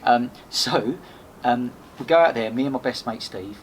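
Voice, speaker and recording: A man telling rambling anecdotes in a casual podcast chat, animated and full of restarts. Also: medium pitch, moderate pace. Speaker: podcast host and guest. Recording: noisy background.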